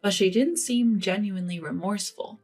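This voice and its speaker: A woman's voice: medium pitch, normal speed, a little mellow, slightly rough, faint music behind. A woman narrating a scripted dramatic story.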